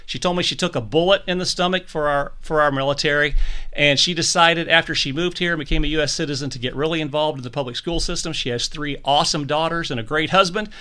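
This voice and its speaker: A man's voice: fairly high pitch, quick pace, pushed and bright, smooth. A man hosting a podcast interview, enthusiastic and chatty, often restarting his sentences. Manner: enthusiastic, with frequent restarts and stumbles. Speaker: podcast host.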